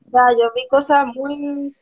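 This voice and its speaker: A woman's voice: high voice, level tone, darkish tone. Young Chilean woman on a lo-fi call. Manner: earnest, talks fast with many restarts. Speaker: guest, documentary photographer. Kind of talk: casual live-stream interview. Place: small room at home, indoors. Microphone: phone camera on a video call.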